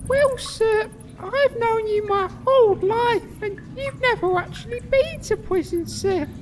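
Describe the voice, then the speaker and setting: Casting: tiny naive hunchback squire, extremely high voice, slow deferential delivery, noisy recording. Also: male voice. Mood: puzzled, timid. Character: timid, simple, loyal little male hunchback squire. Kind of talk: comedy sketch character acting.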